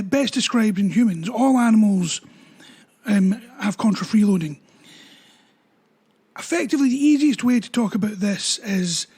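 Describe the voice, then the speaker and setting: A man, a dog trainer explaining training ideas in a relaxed talk with everyday examples. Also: very high voice. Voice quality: husky voice.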